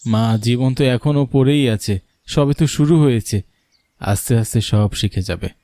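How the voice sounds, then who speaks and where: A man voicing a caring young husband and son in a cartoon story, coaxing and reasoning gently. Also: mid-range voice, normal speed, no room sound, clean studio sound, natural voice. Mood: persuasive, gentle. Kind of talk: animated story character acting.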